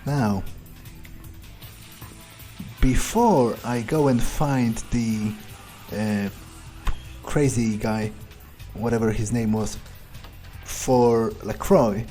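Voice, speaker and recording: A man doing in-character game commentary, thinking aloud in a deliberate, dryly musing manner. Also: mid-range voice, warm tone, soft background music. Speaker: let's player role-playing a vampire character.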